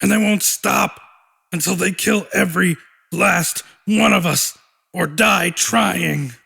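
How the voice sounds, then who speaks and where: A man's voice: sits high, takes it slowly, gravelly, sharp tone, breathy edge, full character voice. A man voicing a small young monster boy in an audiobook, earnest and frightened but resolute, prone to stammering. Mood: grim, urgent.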